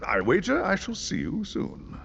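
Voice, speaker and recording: An actor playing a fussy, courteous maester in a video game, speaking formally in a creaky voice. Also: male voice, sits high, huge pitch swings, fairly bright tone, heavily acted. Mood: politely fussy. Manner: fussy, formal, measured politeness. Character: fussy, courteous older maester, a male scholar. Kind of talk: video game cutscene acting. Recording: dull audio with faint ambient noise.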